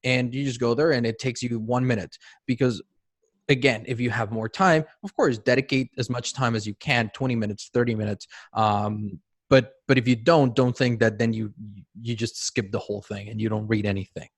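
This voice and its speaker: This is a man from Mexico, a native Spanish speaker, hosting a podcast in a chatty, conversational way. His pitch is middling for a man. The recording is dry and close. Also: steady tone, normal speed, no room sound. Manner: conversational, restarts phrases. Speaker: podcast host. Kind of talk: casual interview conversation.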